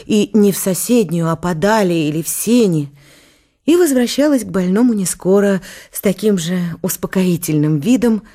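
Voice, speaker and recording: A woman's voice: lowish voice, warm tone. A woman narrating a historical radio play in scripted literary prose.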